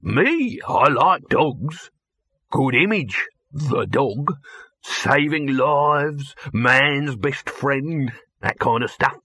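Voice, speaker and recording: Man as a high-pitched, pushy showbiz huckster. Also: expressive, extremely slow, pushed and bright, breathy edge, dry recording, clean studio sound, heavily acted. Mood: salesman-like. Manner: effusive, dismissive, salesman-like. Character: brash, pushy, fast-talking male showbiz salesman.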